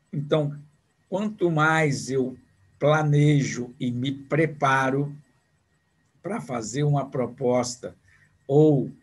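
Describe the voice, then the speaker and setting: A man's voice: medium pitch, slightly rough. A man in his sixties giving an online business lecture over a video call, talking in a conversational way with repeated words and rhetorical questions.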